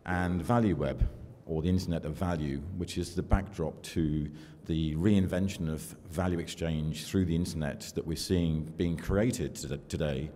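An older man delivers a keynote from a podium in a large hall, his voice low and mellow. He speaks in a measured, self-deprecating way, pausing on fillers, and the room adds a long reverb over a faint hum of ambience. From United Kingdom. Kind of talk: conference keynote talk. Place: large conference hall with audience. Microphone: podium microphone.

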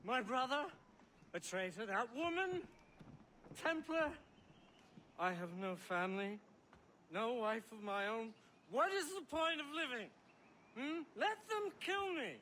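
An actor playing a self-pitying, betrayed bureau leader in a theatrical video game cutscene manner, pleading and despairing. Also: male voice, very high voice, animated, measured pace, long pauses, bright, forceful tone, a little hiss or hum, exaggerated voice. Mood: drunken despair.

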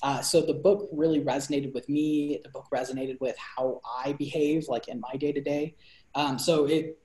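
A man's voice: on the high side, moderate pace. A young man co-hosting a book review over a video call, talking casually with many fillers and restarts. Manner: animated hand gestures. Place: bright room at home. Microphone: video call laptop. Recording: roomy echo.

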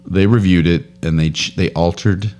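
A man in his thirties with a very deep voice, chatting casually as a podcast co-host. He talks into a close studio boom mic in a home room, rambling through stories and laughing often. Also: steady tone, normal speed, darkish tone. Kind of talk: casual chat. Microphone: boom studio mic with headphones.

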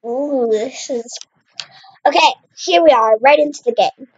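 Young woman, let's play host, high-pitched, slight cough, dull lo-fi sound. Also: measured pace, breathy edge. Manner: chatty, exclaims at the game, narrates own moves. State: slight cough, clears throat. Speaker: gaming channel host. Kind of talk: casual Minecraft let's play commentary.

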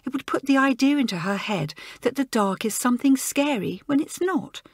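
A woman's voice: high-pitched, lively intonation, moderate pace, slightly rough, no echo, studio quality, voice pushed up, heavily acted. A woman voicing a tearful, ashamed schoolgirl. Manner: sobbing, pleading.

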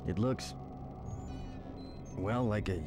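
Low-voiced, terse military captain. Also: male voice, slow, over loud music. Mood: businesslike. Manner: terse, dismissive. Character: gruff, weary military captain leading a recon team. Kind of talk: video game voice acting. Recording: loud rumbling storm noise.

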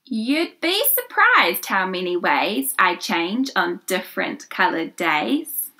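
A woman in her twenties hosting a children's storytime, reading a picture book aloud in an expressive, deliberate way for young listeners. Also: medium pitch. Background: music.

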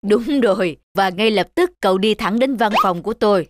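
A woman voicing a matter-of-fact, unhurried Grim Reaper in an animated fantasy. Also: mid-range voice, noisy background, lightly acted. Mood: businesslike. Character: calm, businesslike Grim Reaper interviewing the newly dead. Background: background music.

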